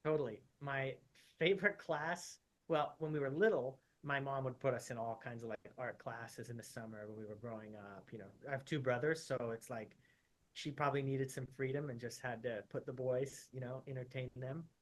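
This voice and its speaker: A man's voice: medium pitch, moderate pace, rough recording. Laid-back male surgeon in his thirties, from Utah, chatty interview guest who peppers his answers with "like", mid-range voice. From Utah, USA. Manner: relaxed, chatty, uses "like" often.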